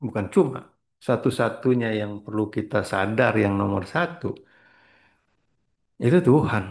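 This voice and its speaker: A middle-aged man gives a casual motivational talk as a spiritual trainer. He rambles, mixes in English words and keeps clearing his throat. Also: on the low side, a little mellow.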